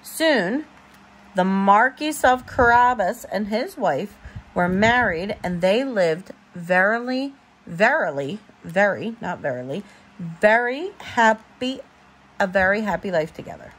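Woman, parent at storytime, animated intonation, a touch husky, homely and informal read-aloud. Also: medium pitch, takes it slowly. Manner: expressive, informal, stumbles over words. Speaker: parent reading a bedtime story.

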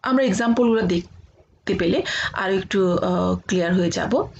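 A woman's voice: mid-range voice, vocal fry, a little mellow, lo-fi sound. Bangladeshi woman teaching, with noisy background. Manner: halting, with frequent filled pauses. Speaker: college English grammar teacher. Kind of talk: explanatory online lecture with examples.

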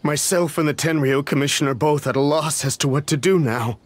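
Man playing an anxious, higher-voiced official and father. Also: a little fast, close to an everyday voice. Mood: worried. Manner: earnest, pleading, easily flustered. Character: anxious, deferential middle-aged official, worried father. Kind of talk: video game character acting.

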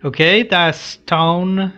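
A young adult man giving casual read-along commentary, halting and restarting his phrases as he goes. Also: high-pitched, steady tone, bright, forceful tone, lo-fi sound. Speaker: reaction video host. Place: small room indoors. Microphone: headset boom mic.

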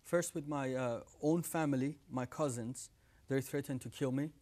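A man's voice: medium pitch, normal speed, a little mellow. Man, 30s, iranian accent, earnest testimony, lapel mic, studio. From Iran. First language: Farsi. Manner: earnest, gestures with hands while talking. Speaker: guest. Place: TV studio set with living room decor.

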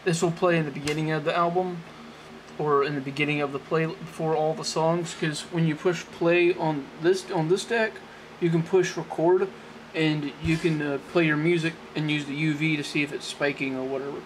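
Higher-voiced man giving a halting walkthrough. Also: fairly even intonation, slight background noise, poor audio. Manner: halting, rambling, self-correcting. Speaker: amateur tech tutorial presenter. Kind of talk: casual unscripted how-to demonstration. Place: small indoor room at a desk. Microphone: handheld camera, off-screen close behind it.

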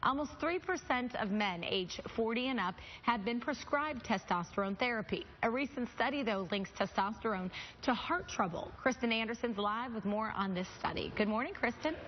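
A woman news anchor reading a scripted lead-in in a measured, smooth way. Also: fairly high pitch, lo-fi sound, slight background noise. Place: TV studio.

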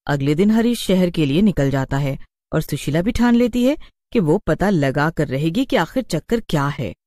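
A woman reading scripted story narration for an animated tale. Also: low-pitched, normal speed, dry recording, clean studio sound.

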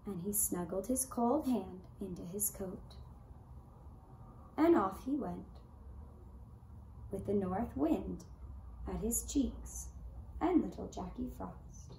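A woman narrating a scripted children's story in a deliberate way, with dramatic pauses. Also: mid-range voice, lively intonation, warm tone. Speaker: puppet show storyteller. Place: indoor room with draped puppet stage. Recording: roomy echo, faint background noise.

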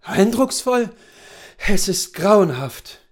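A man's voice: high voice, big pitch swings, slow, voice pushed up, exaggerated voice. A man reading a story, voicing a nervous, irritable husband who is new to hunting and complains fretfully. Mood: exasperated. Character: nervous, irritable husband, a novice hunter on safari.

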